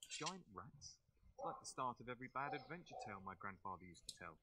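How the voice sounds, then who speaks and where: An actor voicing a wry castle knight in a fantasy game, with a dry, brisk manner. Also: male voice, medium pitch, big pitch swings, close to an everyday voice. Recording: loud background noise.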